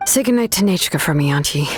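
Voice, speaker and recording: A woman's voice: lowish voice, lively intonation, fast, husky, soft background music. A woman voicing an easygoing female artisan and name engraver in a video game, a chatty storyteller who drops casual fillers.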